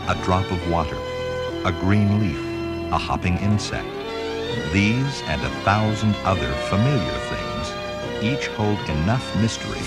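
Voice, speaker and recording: A man narrating a science fiction audio drama in a solemn, portentous, measured way, as dramatic scripted narration. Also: low-pitched. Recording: loud music under the voice. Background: loud music.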